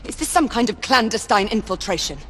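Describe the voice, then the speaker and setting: An actress playing a worried, forthright comrade of a knight in a video game, earnest and demanding. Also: female voice, a little high, animated, fairly quick, breathy edge. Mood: confronting, worried. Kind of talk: dramatic video game acting.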